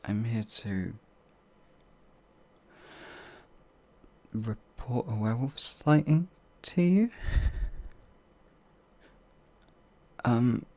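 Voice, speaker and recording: A man's voice: medium pitch, lively intonation, measured pace, dark tone. A man playing a timid, apologetic werewolf farmhand in an audio roleplay, hesitant and rambling, stammering as he goes. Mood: nervous, apologetic. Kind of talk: ASMR audio roleplay monologue.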